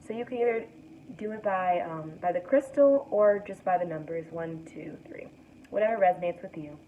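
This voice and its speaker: A young woman with a raspy morning voice, giving a relaxed, soothing tarot card reading to camera as the host. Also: lowish voice, moderate pace. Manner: relaxed, soothing, guides breathing. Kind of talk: casual spiritual reading to camera. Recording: tinny distant mic with faint noise.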